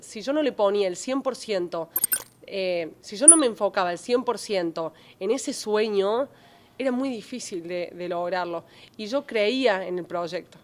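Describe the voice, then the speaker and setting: A woman in her thirties from Córdoba, Argentina, with a Cordoban accent, telling her story as a podcast guest. She talks in an animated way, full of fillers and restarts. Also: a little high. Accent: Cordoban Argentine Spanish. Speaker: journalist and travel creator guest. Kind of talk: podcast interview.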